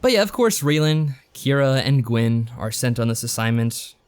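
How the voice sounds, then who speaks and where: A man's voice: medium pitch, big pitch swings, moderate pace, clear, resonant tone. A man co-hosting a podcast in casual chat, giving a rambling, joking recap. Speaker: podcast co-host. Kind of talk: casual chat reviewing visual novels.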